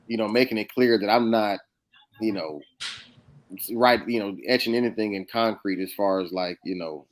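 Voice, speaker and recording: An American man in a casual podcast discussion, speaking haltingly and repeating words as he works toward his point. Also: on the low side. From United States. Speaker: podcast co-host.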